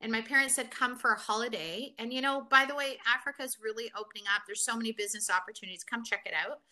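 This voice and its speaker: A woman's voice: a little high, quick pace, sharp tone. A woman from Kenya, a guest in a conversational interview, telling her story reflectively with many fillers.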